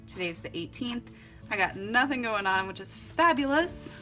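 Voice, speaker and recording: A woman's voice: a little high, animated, moderate pace, sharp tone, soft background music, poor audio. A young woman chatting casually and upbeat as she walks through her journal setup at home, laughing often. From Florida and Costa Rica. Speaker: vlogger. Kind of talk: casual vlog narration while journaling.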